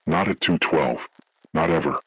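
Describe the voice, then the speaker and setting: Guy playing a haunted man telling a scary story, super deep raspy voice, slow ominous phrasing, grave and full of dread. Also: no echo, highs cut off. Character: haunted man recounting childhood motel horror, grave, dread-filled. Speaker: horror story narrator. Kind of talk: scripted first-person horror narration.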